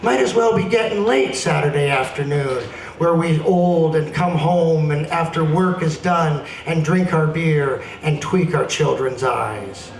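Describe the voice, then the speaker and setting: A man's voice: fairly high pitch, a little slow, hardly any pauses. A man giving a playful, dramatic spoken-word performance, reading aloud in a casual live setting. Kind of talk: animated live poetry reading with casual introductions. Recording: roomy, distant mic with faint noise.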